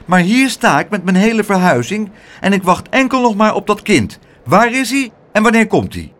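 A man's voice: big pitch swings, moderate pace, fairly bright tone. Een man die een schelle, bazige, verontwaardigde tante voorleest in een kinderverhaal. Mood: indignant. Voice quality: high, scolding, shrill. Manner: indignant, demanding. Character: shrill bossy fussing aunt, middle-aged woman.

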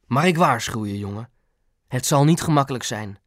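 A man's voice: mid-range voice, lively intonation, normal speed, dry recording, natural voice. Male narrator as a henpecked father, earnest, plain-spoken, audiobook character, dry sound. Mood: earnest, warning. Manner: earnest, meek with his wife. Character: plain-spoken, henpecked working-class dad, security guard.

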